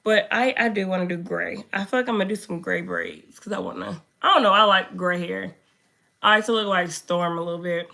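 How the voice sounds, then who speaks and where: A young woman with a hoarse voice chatting casually with her viewers while reading their comments. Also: a little fast, bright, forceful tone. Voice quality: low, slightly deep voice. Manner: chatty, talks to viewers, drifts off topic. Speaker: makeup streamer.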